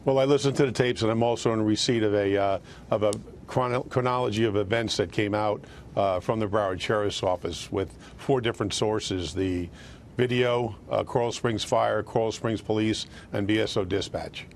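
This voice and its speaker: An American man answering as a news interview guest, speaking haltingly and repeating words as he goes. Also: on the low side, steady tone, a little hiss or hum. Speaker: police union president, interview guest.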